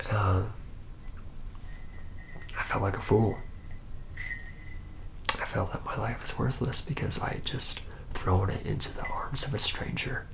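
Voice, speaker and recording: A haunted young male vampire, acted out by a man in an ASMR roleplay, recounts his tale reluctantly. He speaks slowly and haltingly in a breathy whisper that breaks into very heavy creak. The delivery is rueful, with heavy pauses. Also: on the low side, a bit bright, heavily acted, low-quality recording. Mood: guilty, rueful. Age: young adult.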